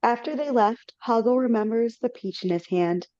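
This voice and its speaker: A woman's voice: a little high, normal speed, a little mellow, no echo. Woman casually reading stage directions. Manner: casual, with slips and self-corrections. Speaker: narrator. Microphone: video call. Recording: dull lo-fi audio.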